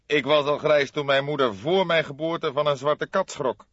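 A man's voice: fairly high pitch, normal speed, a bit bright, no echo, lo-fi sound, voice pushed up. A man voicing a grey-haired old police detective in an audiobook, authoritative and emphatic, with dry humour. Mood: drily witty.

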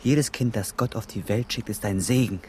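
A man's voice: on the low side, normal speed, darkish tone, slight background noise, natural voice. An actor playing a brash, pushy young handyman in a German radio crime drama, chatty and coarse.

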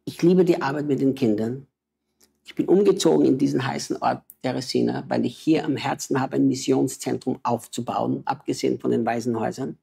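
A man's voice: medium pitch, a little fast, vocal fry, mellow, husky. A man, a missionary preacher and native German speaker, talking with emotional, urgent exhortation in a conversation.

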